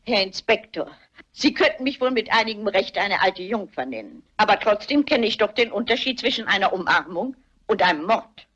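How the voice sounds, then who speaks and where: An actress playing a sharp-witted old English spinster sleuth, brisk, insistent and precise, in a vintage film dub. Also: female voice, medium pitch, lively intonation, slightly rough. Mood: stern, affronted. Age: older. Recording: dull vintage film soundtrack.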